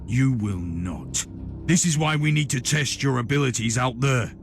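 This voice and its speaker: An actor playing a stern, commanding Inquisitor in a video game, speaking with deliberate authority and dry irony. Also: male voice, mid-range voice, vocal fry, lightly acted. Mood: firm. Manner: authoritative, deliberate, dryly ironic. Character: stern, commanding male Imperial Inquisitor, dryly sardonic. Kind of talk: scripted video game voice acting. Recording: music behind the voice.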